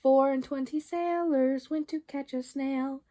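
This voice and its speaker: A woman's voice: sits high, fairly even intonation, measured pace, dark tone. A woman voicing merry little storybook mice who chant rhymes in a sing-song, twittering way.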